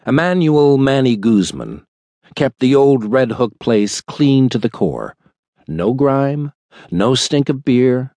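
A man reading a thriller audiobook in a measured, dramatic storytelling manner. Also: medium pitch, a little mellow, dry recording. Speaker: audiobook narrator. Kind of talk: scripted thriller audiobook narration. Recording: dry studio recording.

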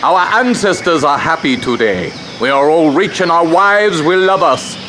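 An actor playing a philosophical African village hunter and guide who speaks in plain, proverb-like phrases in a radio adventure drama. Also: male voice, fairly high pitch, expressive, normal speed, natural voice. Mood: cheerful, philosophical. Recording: noisy outdoor sound effects behind.

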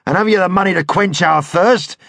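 A man voicing a tall, swaggering royalist cavalry officer who banters loudly and gives orders. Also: high voice, fairly quick, no echo, clean studio sound, voice pushed up. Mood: boisterous. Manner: loud, bantering, commanding. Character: boisterous tall royalist dragoon leader, male, swaggering.

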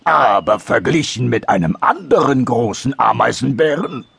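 A man's voice: medium pitch, big pitch swings, moderate pace, creaky, fairly bright tone, breathy edge, dry recording, pitched above the performer's own voice, full character voice. A man voicing a big, easily hurt anteater in a storybook, hesitant and brooding. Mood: indignant. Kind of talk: storybook character voice.

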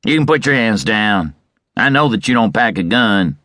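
A man voicing a bullying, sneering outlaw in a Western story, with taunting, rough frontier talk. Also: fairly low pitch, animated, normal speed, pushed and bright, no echo, clean studio sound. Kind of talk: Western audiobook character.

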